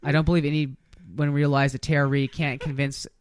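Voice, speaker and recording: A man's voice: fairly high pitch, level tone, normal speed, clear, resonant tone. A man hosting a podcast, chatting casually about movies in a bantering, profane way. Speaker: podcast host.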